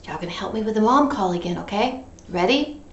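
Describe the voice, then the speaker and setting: A woman's voice: medium pitch, expressive, brisk, roomy sound, highs cut off, a little hiss or hum. A woman reading a picture book to children in a playful, interactive way, coaxing listeners along. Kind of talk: animated children's read-aloud with sung refrains and asides.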